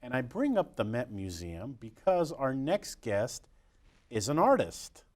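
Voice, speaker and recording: A man's voice: medium pitch, sing-song, measured pace. A man hosting a public affairs radio interview from a studio, friendly and chatty. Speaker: radio show host.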